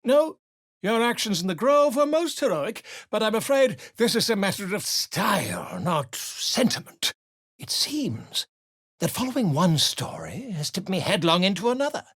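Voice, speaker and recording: A man's voice: high voice, big pitch swings, normal speed, a bit bright, slightly rough, no echo, clean studio sound, heavily acted. An actor playing an eccentric, pompous male bard and scholar in a video game, speaking in flowery, declamatory phrases.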